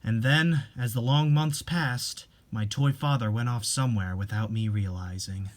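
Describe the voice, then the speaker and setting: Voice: medium pitch, moderate pace, a little hiss or hum. A storyteller giving a scripted, dramatic narration of a sad manga tale.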